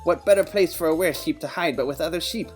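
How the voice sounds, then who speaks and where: Guy doing a nerdy earnest detective narrating an audio drama, highish voice, bit of music behind. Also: fast, close to an everyday voice. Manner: matter-of-fact, nerdy, rambling narration. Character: quirky earnest human male detective, tabletop-game jargon. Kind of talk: scripted first-person audio play narration.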